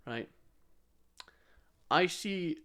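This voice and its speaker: A man's voice: fairly high pitch, expressive, very slow, pushed and bright. A man co-hosting a podcast, chatting casually and giving opinions in a blunt, sweary way. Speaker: podcast co-host.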